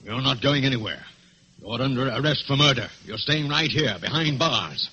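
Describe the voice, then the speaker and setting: An actor playing a blustering, rigid police inspector with a British accent in an old-time radio thriller, formal in manner. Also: male voice, medium pitch, moderate pace, pushed and bright, husky. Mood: stern. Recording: muffled old radio recording, faint noise.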